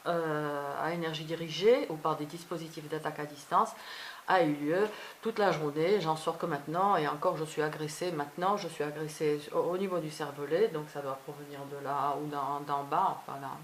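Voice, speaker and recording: Female vlogger, low register, non-native French speaker, unscripted and halting delivery, faintly hissy amateur sound. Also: steady tone. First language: not French. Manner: halting, fragmented, trailing off. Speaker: video blogger. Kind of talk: unscripted personal vlog monologue.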